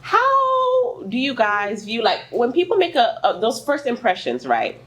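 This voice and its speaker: A woman's voice: a little high, huge pitch swings, measured pace, a bit bright. A woman in her thirties co-hosting a podcast, chatting playfully and asking the others questions. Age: 30s. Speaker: podcast co-host. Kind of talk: casual chat.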